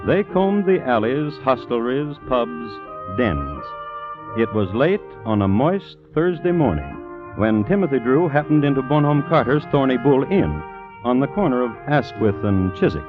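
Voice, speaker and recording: A man narrating a radio drama as host in a wry, deliberate, formal manner, in 1950s broadcast style. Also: medium pitch, sing-song. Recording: tinny vintage radio recording with music. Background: music.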